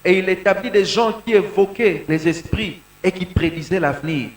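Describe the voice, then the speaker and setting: A man from Congo preaching a sermon in short phrases, pausing so an interpreter can follow. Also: high voice, roomy sound. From Congo (DRC). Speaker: pastor.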